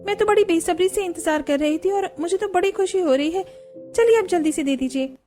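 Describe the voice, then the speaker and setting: A woman voicing an emotional young daughter-in-law in a cartoon story, eager, pleading and quick to take offence. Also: extremely high pitch, dark tone, soft background music, voice pushed up. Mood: excited, eager. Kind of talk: Hindi cartoon story dialogue.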